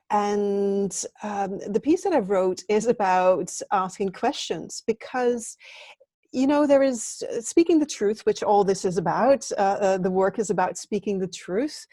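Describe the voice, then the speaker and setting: A woman's voice: mid-range voice, dark tone, no echo. A middle-aged woman from Denmark, a guest on a video call interview, answering in an earnest, thoughtful way with some fillers. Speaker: interview guest, training consultant.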